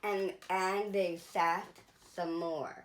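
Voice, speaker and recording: Woman doing a kids storytime read-aloud, really slow, lots of pauses. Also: lowish voice, little pitch movement, reverberant. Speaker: storyteller at a children's museum.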